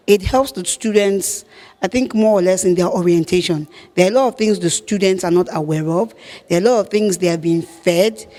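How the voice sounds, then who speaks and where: A woman's voice: medium pitch, darkish tone. A woman giving her opinion in an interview. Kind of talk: interview answer.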